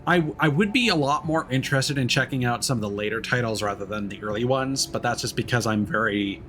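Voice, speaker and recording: A man's voice: mid-range voice, a bit bright, slight background noise. A man livestreaming a game, chatting casually in an easygoing, rambling, hesitant way. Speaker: live streamer. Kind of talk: casual game stream chat.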